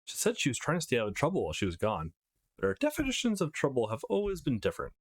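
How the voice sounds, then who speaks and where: Visual novel schoolboy lead, eighteen, male read by a man: self-assured, conversational, mid pitch, animated intonation. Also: quick pace, slightly rough. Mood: reflective. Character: eighteen-year-old schoolboy, visual novel hero, cocky but easygoing.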